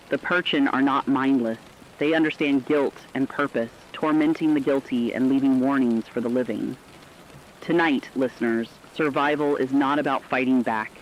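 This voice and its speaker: A stern male radio reporter played by an actor warns listeners in a mid-pitched, deliberate voice. The horror-drama script is read with foreboding, on a dull lo-fi recording with rainy noise. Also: close to an everyday voice.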